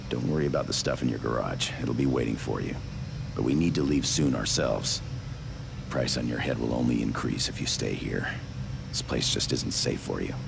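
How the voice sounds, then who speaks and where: An actor playing a gruff, grave and urgent resistance fighter in a video game cutscene, briefing in a measured, gravelly voice. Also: male voice, background noise, exaggerated voice. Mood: approving, steady. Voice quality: deep, gravelly.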